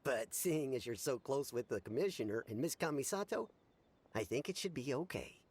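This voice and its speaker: A man's voice: mid-range voice, lively intonation, moderate pace, a little mellow, slight background noise, lightly acted. An actor playing an earnest, polite and eager young male retainer in a video game. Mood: polite, welcoming.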